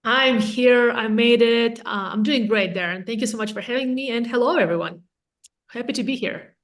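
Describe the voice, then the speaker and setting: Latvian-accented woman, candid and energetic. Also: fairly high pitch, moderate pace. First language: Russian or Latvian. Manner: candid, energetic, self-deprecating. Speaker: real estate agent, guest. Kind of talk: casual interview chat.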